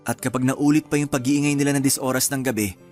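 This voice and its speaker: Radio drama husband, medium pitch, Filipino accent, soothing, loving, a little weary; male actor. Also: steady tone, quick pace, a little mellow, faint music behind, natural voice. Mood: calm, apologetic. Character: tired, affectionate, calm young husband in radio drama.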